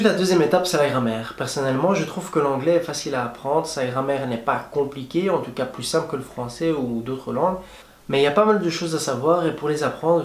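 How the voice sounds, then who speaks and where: A young man giving friendly, plain-spoken advice straight to his viewers in a casual travel vlog. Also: fairly high pitch. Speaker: travel vlogger. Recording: echoey room.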